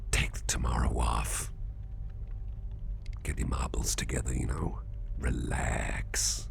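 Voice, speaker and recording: A man plays a protective businessman boss in an intimate ASMR roleplay. His voice is extremely deep and heavily creaky, mostly kept to a whisper. He is gentle and soothing, doting on his listener. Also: full character voice. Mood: reassuring, hushed. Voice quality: very deep, breathy, gravelly near-whisper. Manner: slow, hushed, reassuring, intimate. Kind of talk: ASMR personal attention roleplay.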